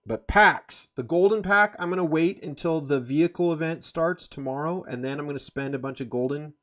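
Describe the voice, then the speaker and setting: A man's voice: a little high, brisk, fairly bright tone. A man giving an informal, rambling walkthrough of a game, explaining details as he goes. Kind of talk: informal screen-share game tutorial.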